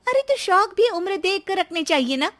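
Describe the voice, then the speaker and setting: High-pitched, exasperated young daughter-in-law. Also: female voice, big pitch swings, moderate pace, a bit bright, exaggerated voice. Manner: dramatic, whiny complaining. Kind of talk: acted dialogue in animated moral story.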